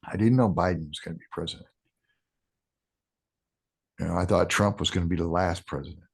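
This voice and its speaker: A man in his sixties, a podcast guest talking casually in a halting way, restarting sentences with ums. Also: low-pitched, fairly even intonation, creaky, husky. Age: 60s. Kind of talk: casual interview talk.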